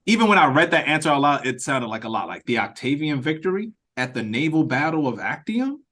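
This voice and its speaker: A man's voice: fairly high pitch, big pitch swings, brisk, fairly bright tone. Man thinking aloud in casual talk. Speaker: writer and director leading a script read-through. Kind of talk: casual creative feedback discussion. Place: remote video call. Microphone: video call.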